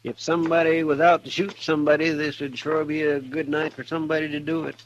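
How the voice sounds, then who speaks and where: Actor as fretful folksy deputy, stammering drawl, faint hiss, old radio western. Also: male voice, on the high side, little pitch movement, quick pace, muffled recording, natural voice. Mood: worried. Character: anxious, loyal frontier deputy. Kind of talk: 1950s radio drama acting.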